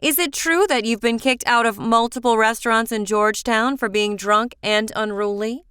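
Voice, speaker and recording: A woman playing a stern, accusing prosecutor in an animated comedy, speaking formally and deliberately. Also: fairly high pitch, clean tone, no room sound, clean studio sound, close to an everyday voice. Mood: pointed questioning. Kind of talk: animated comedy courtroom dialogue.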